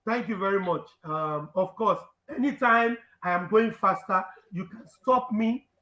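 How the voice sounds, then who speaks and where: A man's voice: high-pitched, a little slow, pushed and bright, reverberant. A man with a Nigerian accent, a native Urhobo speaker, giving an impassioned live speech that repeats phrases for emphasis. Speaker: activist speaker.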